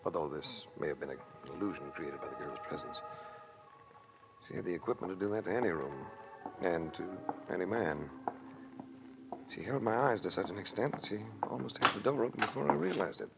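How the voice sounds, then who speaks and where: An actor playing a wry, hard-boiled adventurer in a 1940s radio detective drama. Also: male voice, low-pitched, expressive, brisk, gravelly, over music. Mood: wry narrating. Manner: dry, wisecracking. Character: wry, hard-boiled male adventurer telling his own story. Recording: noisy old radio broadcast recording.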